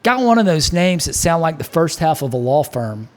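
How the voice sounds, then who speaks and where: A man's voice: fairly high pitch, very animated, normal speed, pitched above the performer's own voice. A man voicing a breezy, bantering bartender, an old pal full of wisecracks, in an audiobook. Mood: teasing. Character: ruddy Irish-American male bartender, bantering old pal.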